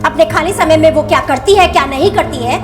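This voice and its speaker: An actress playing a defiant, mocking brothel madam with a sarcastic manner in a TV crime drama. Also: female voice, sits high, brisk, far from the mic, close to an everyday voice. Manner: brazen, sarcastic. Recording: off-mic with clear background music.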